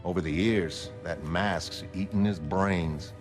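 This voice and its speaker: Man playing low-voiced, wistful retired hero. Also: slow, over music. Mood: reflective, troubled. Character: earnest, wistful middle-aged male retired costumed hero. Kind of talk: dramatic comic-book reading.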